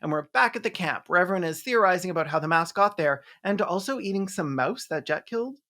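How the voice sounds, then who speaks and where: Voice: mid-range voice, animated, quick pace, sharp tone. A video essayist narrating a movie recap in a sarcastic, mocking, scripted style with some swearing. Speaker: video essayist and film reviewer.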